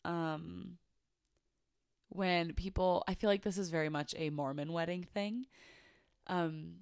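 A young woman co-hosting a chat show with a stuffed-up, congested nose. Her voice sits a little low and stays smooth. She talks in an opinionated, chatty way, recorded dry and close. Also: fairly even intonation, slow, dry recording. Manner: chatty, opinionated, laughs often. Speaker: podcast co-host. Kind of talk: casual chat.

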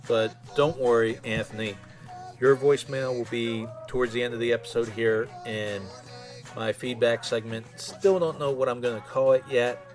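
A man's voice: mid-range voice, steady tone, moderate pace, soft background music. A man hosting a fan podcast solo, chatting in an informal, conversational way. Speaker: podcast host.

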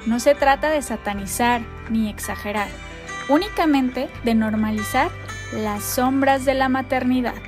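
Mexican woman in her thirties, higher voice. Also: unhurried, music in the background. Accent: Mexican Spanish. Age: 30s. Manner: open, earnest, warm self-introduction. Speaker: podcast host. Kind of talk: personal podcast monologue.

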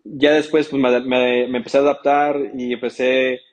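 Hesitant, flat-voiced man raised in the US. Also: mid-range voice, normal speed. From United States. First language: English. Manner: hesitant, many fillers and restarts. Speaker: interview guest. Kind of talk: casual interview talk.

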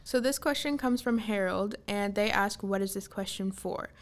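A woman chats casually as co-host of an advice podcast. Her voice is middling in pitch and her style is conversational. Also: normal speed.

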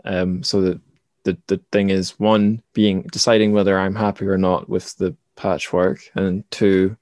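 Young man, deep voice, podcast host, casual interview chat, close dry sound. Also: level tone, a little slow, darkish tone, no room sound.

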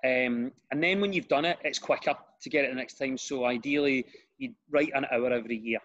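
A man's voice: medium pitch, brisk, fairly bright tone, highs cut off. A Glaswegian comedian in his thirties chatting casually, telling self-deprecating stories. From Scotland. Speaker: comedian guest. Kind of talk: casual chat.

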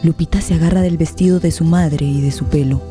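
A woman giving sombre scripted narration for a radio play with measured, deliberate phrasing. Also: low-pitched, steady tone, mellow, faint music behind.

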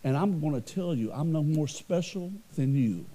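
Middle-aged male pastor, loud carrying voice, mellow tone, earnest and conversational from the pulpit. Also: a little high, animated, moderate pace, low-quality recording. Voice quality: loud voice that carries. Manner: earnest, conversational preaching with repeated restarts. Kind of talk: sermon.

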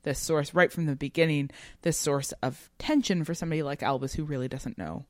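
A woman's voice: deep voice, a little fast, dry recording, clean studio sound. A woman co-hosting a podcast, talking through literary ideas in a thoughtful, analytical, conversational way peppered with "like" and "right?". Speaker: podcast co-host.

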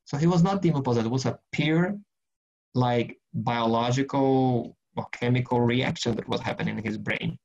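Man, mid pitch, gravelly, group study leader on a lo-fi video call. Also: normal speed, a little mellow. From Brazil. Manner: earnest, rambling, frequent restarts and fillers. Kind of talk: casual teaching and prayer in an online group session.

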